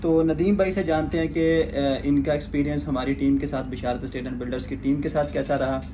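A man's voice: fairly high pitch, fairly even intonation, fairly quick, noisy background. A man in his thirties with a Pakistani Urdu accent, hosting a real estate video from a small office, polite and formal with hesitant fillers. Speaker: real estate agent host. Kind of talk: promotional interview and sales pitch.

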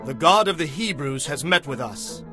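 An insistent prophet speaks in a raised, bright voice, earnest and urgent, as a man acts him out. The sound is dry and close. Quiet music runs beneath. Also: fairly high pitch, animated, normal speed, pitched above the performer's own voice.

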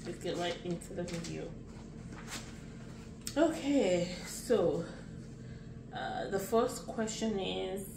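Slow-talking Nigerian woman in her late thirties. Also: on the low side, roomy sound, recorded from a distance, background noise. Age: late 30s. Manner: unhurried, chatty, rambling with restarts. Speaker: vlogger. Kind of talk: casual get-to-know-me vlog.

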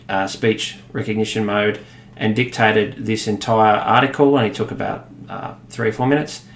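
A man in his thirties with an Australian accent, explaining a software demo in a relaxed way, with many fillers. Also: fairly low pitch, a little hiss or hum. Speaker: product demonstrator. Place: office room, indoors. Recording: echoey room with faint ambience.

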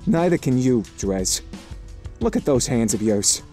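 A man's voice: mid-range voice, big pitch swings, measured pace, dark tone, faint music behind. A man playing a tense, crooked businessman, curt and uneasy but firm, in an audio drama. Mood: stern. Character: tense, uneasy male criminal businessman hiring an arsonist.

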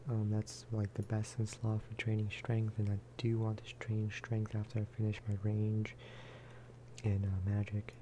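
A man speaking softly in a low-key, rambling ASMR style as he chats over gameplay. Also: monotone, moderate pace, noisy background. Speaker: gaming video creator. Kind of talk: soft-spoken ASMR gameplay chat.

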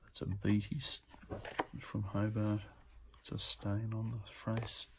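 Low voiced guy showing auction lots, kinda musing to himself, really unhurried, bit of background noise. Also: monotone. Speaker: auction lot presenter. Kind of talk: casual off-the-cuff commentary on items being shown. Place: indoors at a table.

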